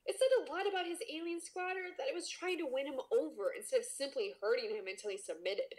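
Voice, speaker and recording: A woman's voice: sits high, quick pace, a little mellow. A woman podcast host reading a story aloud in a dramatic, playful way, with casual comic asides.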